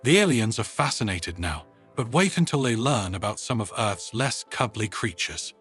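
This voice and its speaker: A man's voice: fairly low pitch, expressive, normal speed, a bit bright, breathy edge, soft background music. A man voicing a wry, practical woman who leads a space team, in a conversational way, for an audio story.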